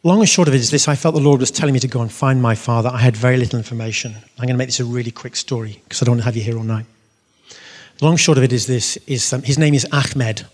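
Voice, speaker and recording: A man giving an animated faith testimony to an audience, telling his story with bursts of loud emphasis. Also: medium pitch, quick pace. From half Syrian. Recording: long reverb of a hall.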